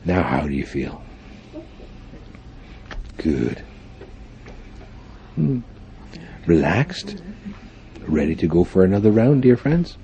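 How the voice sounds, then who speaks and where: A man with a very deep, dark and mellow voice leads a guided meditation. His intonation swings widely as he gives formal, deliberate instructions, dotted with thoughtful hums. It is a live recording with faint noise behind him. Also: sing-song, gravelly, muffled recording. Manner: formal, deliberate phrasing punctuated by frequent hums.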